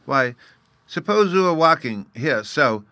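A tiny storybook fairy boy , as a male reader puts him on, lisping out childish baby talk with mangled words. He is earnest, proud and a little scornful, with a voice lifted well up and a bright, forward edge. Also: on the high side, big pitch swings, unhurried, no room sound, voice pushed up, full character voice. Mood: amused, explaining. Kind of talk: storybook character reading.

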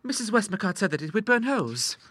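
A man's voice: high voice, very animated, fast, fairly bright tone, dry recording, voice pushed up, full character voice. A man voicing an eager, self-assured young daughter with a polite, airy manner in an audiobook. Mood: calm, apologetic. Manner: polite, airy, earnest, hesitating. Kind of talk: audiobook character voice.

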